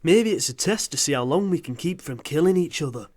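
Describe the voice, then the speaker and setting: A man's voice: on the high side, expressive, fairly quick, darkish tone. A man playing a daft, literal-minded sidekick in a comic audio drama, naive and flippant. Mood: chirpy, naive.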